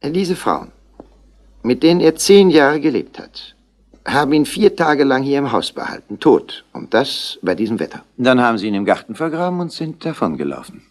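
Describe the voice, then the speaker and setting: An actor playing a worldly, sardonic police official on the Riviera in a 1960s German radio crime drama, suave and wryly exasperated. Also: male voice, medium pitch, big pitch swings, normal speed.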